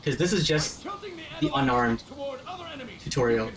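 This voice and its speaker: A man's voice: on the high side, very animated, unhurried, a crowd in the background. A man in casual gaming commentary, explaining things to friends in an enthusiastic, informal, slangy way. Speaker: host explaining the game to friends.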